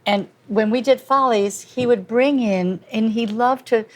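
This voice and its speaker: An older woman hosting a casual lunch conversation, talking in a halting way with many restarts. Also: on the high side. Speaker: host.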